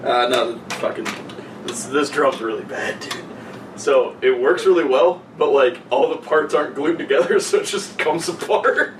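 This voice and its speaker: A man's voice: medium pitch, normal speed. A young man bantering casually and profanely with friends as a podcast co-host. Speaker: podcast co-host and healthcare worker. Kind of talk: casual joking chat among friends. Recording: echoey room, distant mic, clear noise.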